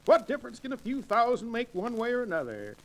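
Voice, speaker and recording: An actor playing a pompous, grandiloquent sidekick in an old Western radio drama, speaking in florid, wordy phrases. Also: male voice, extremely high pitch, huge pitch swings, fast, full character voice, rough recording. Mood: grand, self-important. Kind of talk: 1940s-1950s radio drama acting.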